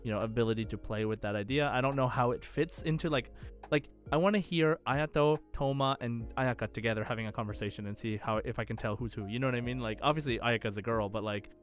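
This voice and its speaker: A man's voice: medium pitch, fast. Male podcast host, mid-range voice, casual, rambling, hesitant, faint music behind. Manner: hesitant, rambling, heavy use of "like". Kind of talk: casual chat.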